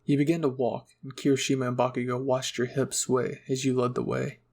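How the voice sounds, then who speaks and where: Husky, gravelly male storyteller. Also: mid-range voice, level tone, brisk. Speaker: storyteller and channel host. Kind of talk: second-person roleplay narration and channel sign-off.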